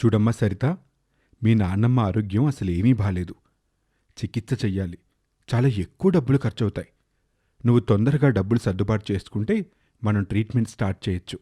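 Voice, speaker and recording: An actor playing a serious village doctor in a dramatised moral story. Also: male voice, lowish voice, a little fast, long pauses, mellow, breathy edge. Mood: grave. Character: grave village doctor delivering bad news.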